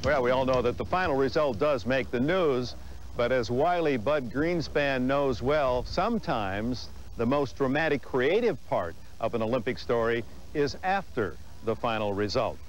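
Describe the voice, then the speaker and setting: A man's voice: mid-range voice, lively intonation, moderate pace, soft background music, low-quality recording. A man presenting as host of a sports program in a scripted style. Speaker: television host.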